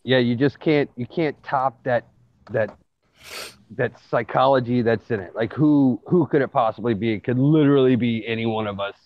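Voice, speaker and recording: A man of medium pitch chats casually as a podcast guest. His recording is a little dull and lo-fi, with a dry, close sound. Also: normal speed, dry recording. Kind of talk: casual chat. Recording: slightly dull, lo-fi connection.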